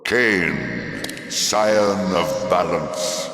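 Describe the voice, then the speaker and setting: A man's voice: low-pitched, lively intonation, creaky, background noise, heavily acted. A man playing an ancient, ominous oracle in a video game, with a cryptic, commanding and portentous delivery. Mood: solemn, ominous. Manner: portentous, archaic, drawn-out delivery. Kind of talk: dramatic video game voice acting. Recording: echoing reverberant hall effect.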